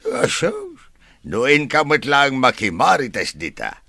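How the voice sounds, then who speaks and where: Nagging husband, radio drama, exclamatory scolding, swooping pitch, dry close sound. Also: male voice, wildly swooping pitch, takes it slowly, gravelly, bright, forceful tone, no echo, heavily acted. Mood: nagging, teasing.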